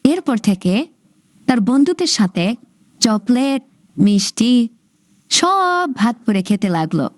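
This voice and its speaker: A woman narrating a scripted children's story in an expressive storybook manner. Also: fairly high pitch, slow pace, pauses often, dry recording.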